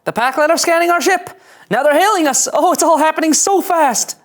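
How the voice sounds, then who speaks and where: Woman as high, jittery young ensign. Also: a little fast. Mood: flustered. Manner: anxious, eager, excitable. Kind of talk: character table read of sci-fi script.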